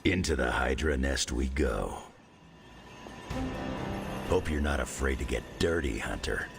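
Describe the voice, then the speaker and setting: An actor playing a gruff, wisecracking clawed mutant veteran in a video game cutscene, with a sarcastic, joking manner. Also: male voice, expressive, unhurried, music in the background, exaggerated voice. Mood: wry. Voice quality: gravelly, very deep.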